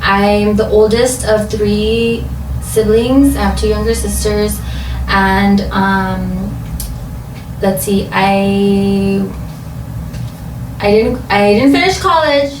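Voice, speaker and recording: A woman from Los Angeles, a guest in a casual interview at home, talking in a relaxed, hesitant way with many ums. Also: medium pitch, slow pace, long pauses. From Los Angeles, USA. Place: home craft room. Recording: roomy, distant, noisy home recording.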